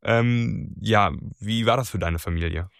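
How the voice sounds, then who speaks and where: A man's voice: fairly low pitch, measured pace, clear, resonant tone, no room sound, clean studio sound. A man hosting a casual conversation, talking hesitantly and searching for his words. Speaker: host.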